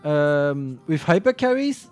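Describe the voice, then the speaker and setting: A man's voice: a little high, big pitch swings, takes it slowly, smooth, faint music behind. A man streaming and chatting casually about a game, rambling with frequent restarts and self-corrections.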